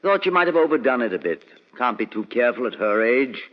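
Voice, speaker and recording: An actor playing an affable, solicitous family doctor in an old-time radio mystery. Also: male voice, mid-range voice, big pitch swings, quick pace, close to an everyday voice. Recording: dull old radio recording, long reverb.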